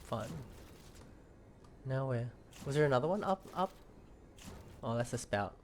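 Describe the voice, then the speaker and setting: Someone just chatting while gaming, mid pitch, bit of noise behind. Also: animated, unhurried. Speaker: gaming streamer playing and commenting. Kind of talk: casual game commentary.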